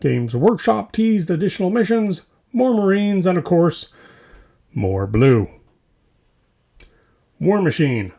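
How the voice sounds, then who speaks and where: A man with a fairly high, slightly husky voice delivers a scripted comedic roundup as a podcast host. His pitch swings widely from phrase to phrase, and he keeps a punchy, tongue-in-cheek manner. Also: very animated, takes it slowly, pauses often, dark tone. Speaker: host of a tabletop wargaming hobby news podcast. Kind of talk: scripted comedic news roundup with mock commercials.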